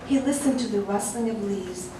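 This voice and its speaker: A woman's voice: medium pitch, normal speed. A woman narrating a comic short story in a wry, amused way, often breaking into laughter. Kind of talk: audiobook story reading. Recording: echoey room, distant mic, noisy.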